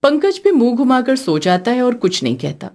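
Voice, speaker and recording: A woman's voice: on the high side, sing-song, normal speed, a little mellow. A woman narrating a scripted story as the storyteller.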